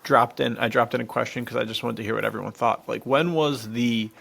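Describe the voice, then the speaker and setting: A man's voice: mid-range voice, steady tone, brisk, creaky, fairly bright tone. A man co-hosting a podcast, explaining things off the cuff in a laid-back, slangy way. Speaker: podcast co-host. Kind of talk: casual chat, off-the-cuff explaining.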